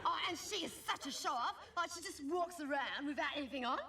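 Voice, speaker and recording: A woman's voice: sits high, brisk, pushed and bright. A woman playing a bratty, gossipy Australian teenage schoolgirl in a comic monologue, rambling in slang and full of grievance. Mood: indignant, gossipy. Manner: rambling, slangy, aggrieved, mimicking family and friends. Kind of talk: comic character monologue to a live audience. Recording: noisy live recording, far from mic.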